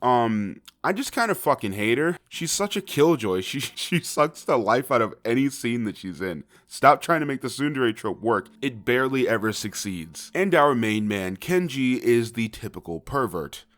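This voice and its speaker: A man's voice: medium pitch, very animated, moderate pace, few pauses, a bit bright. A man narrating a scripted comedic video review, with sarcastic, profane, conversational asides.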